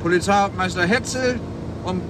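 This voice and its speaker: An actor playing an officious, slightly muddled police sergeant on patrol in a radio play. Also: male voice, sits high, normal speed, natural voice. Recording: clear vehicle noise behind.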